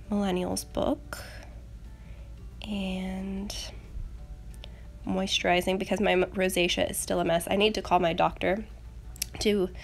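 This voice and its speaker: A young woman with a medium-pitched voice full of vocal fry and a slight rasp left over from a cold. She narrates casually in a chatty craft voice-over style, poking a little fun at herself. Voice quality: slightly raspy. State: getting over a rough cold. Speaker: planner channel host.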